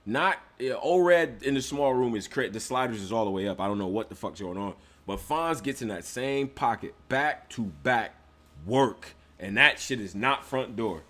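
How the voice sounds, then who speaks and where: A man in a loose group chat about battle rap, jumping in with quick remarks and plenty of swearing. His voice is bright and lively, and it rises and falls a lot. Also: mid-range voice. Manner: animated, swearing, quick interjections. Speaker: podcast co-host.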